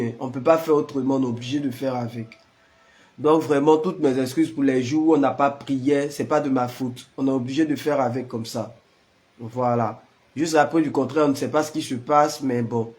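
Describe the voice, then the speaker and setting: A man's voice: mid-range voice, darkish tone. A man with the flu, a prayer leader chatting casually with live-stream viewers and greeting them by name. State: has the flu.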